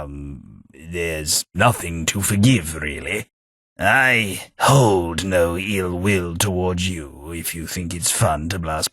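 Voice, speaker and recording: Game companion: a centuries-old British gentleman, voiced by a man, deep and breathy, dry close sound, polite with wry wit. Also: dry recording, full character voice. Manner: formal, polite and dryly wry. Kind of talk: video game voice acting.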